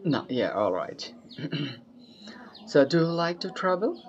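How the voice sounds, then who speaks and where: A young man from Bangladesh with a Bangladeshi accent, chatting eagerly in English practice, often laughing and pausing with fillers. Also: a little high, big pitch swings. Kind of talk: casual conversation practice. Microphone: video call. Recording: dull lo-fi call audio.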